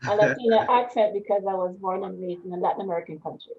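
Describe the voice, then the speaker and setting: A woman in her sixties from Panama speaks with a West Indian accent. She talks at an everyday pitch, rambling and laughing often as a podcast guest. Her voice comes through a narrow, tinny phone-like line. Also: quick pace, darkish tone, a little hiss or hum. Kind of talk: casual interview.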